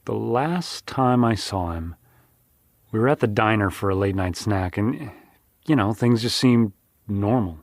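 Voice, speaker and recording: Deep-ish casual man, dry close sound. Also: expressive, measured pace, dry recording. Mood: wistful. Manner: casual, sweary, plain-spoken. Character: loyal, bitter old friend of an accused man. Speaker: interviewee. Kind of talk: casual interview in an audio drama.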